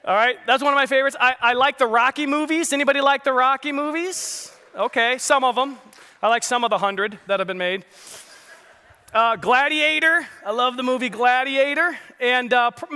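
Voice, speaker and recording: A man's voice: very high-pitched, sharp tone, long reverb tail. A man preaching as lead pastor, joking with the congregation and asking them questions. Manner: jokey, conversational, asks the crowd questions. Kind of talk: sermon with audience banter. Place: large church hall.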